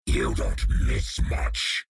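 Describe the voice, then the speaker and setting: An actor voicing a menacing male alien monster in a video game, with a guttural growl and terse, threatening delivery. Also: extremely slow.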